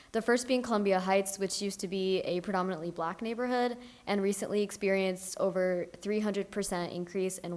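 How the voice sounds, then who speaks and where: A young woman student presenting her research, in a clear, steady, scripted delivery. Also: medium pitch. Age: young adult. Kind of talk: academic presentation.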